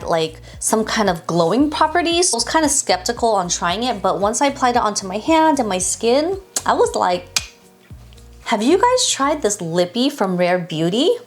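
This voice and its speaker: A young woman beauty vlogger talking casually to camera about products, her voice nasal and congested from allergies, chatty and upbeat. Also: medium pitch. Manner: chatty, upbeat, repeats and restarts phrases.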